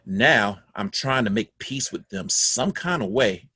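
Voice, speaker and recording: A man's voice: fairly low pitch, animated, a little slow, bright, forceful tone, husky, no echo, exaggerated voice. An actor playing a dead father's ghost, a folksy, doting, guilt-ridden man telling his story in a confiding, emphatic way in a play reading. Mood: remorseful. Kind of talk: theatrical monologue in a stage play reading.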